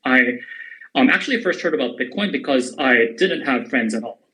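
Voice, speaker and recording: A man's voice: medium pitch, expressive, moderate pace, pushed and bright, rough recording. Hesitant young man, crypto guest. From Korea. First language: English. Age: 20s. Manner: hesitant, repeats words at starts of sentences. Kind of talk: live audio interview.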